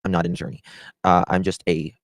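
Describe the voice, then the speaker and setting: A man giving casual livestream commentary as host, chatty and halting, repeating himself for emphasis. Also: deep voice, little pitch movement, no echo. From Southern United States.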